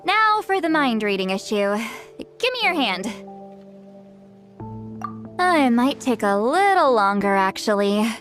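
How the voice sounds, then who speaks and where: A high, youthful shaman woman from an anime game. She is aloof yet awkward and easily flustered, with very animated intonation and unhurried, hesitant delivery. Faint music sits behind her. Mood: focused, unsure.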